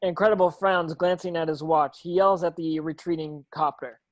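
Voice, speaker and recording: A man's voice: fairly high pitch, fairly even intonation, moderate pace, lo-fi sound. A man narrating stage directions from a script at a table read, sometimes tripping over words.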